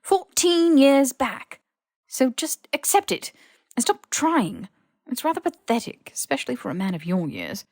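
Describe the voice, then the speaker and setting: A woman's voice: high voice, sing-song, a little slow, a little mellow, no room sound, studio quality, pitched above the performer's own voice, exaggerated voice. A woman voicing a haughty, snippy young male wizard with sneering, clipped, posh phrasing in an audiobook. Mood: angry, snippy. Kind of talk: audiobook character voice.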